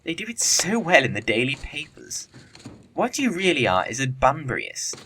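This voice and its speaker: A man's voice: a little high, huge pitch swings, moderate pace, pushed and bright, a little hiss or hum, exaggerated voice. A man playing a flippant, witty young upper-class bachelor in a period comedy audio play, with an arch, theatrical delivery. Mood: smug, witty.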